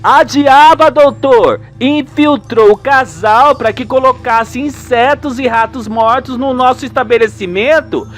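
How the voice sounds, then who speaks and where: A man's voice: extremely high pitch, measured pace, few pauses, fairly bright tone, faint music behind, voice pushed up. A man voicing an anxious, outraged Brazilian wife in a radio soap opera, with a dramatic, scandalised manner.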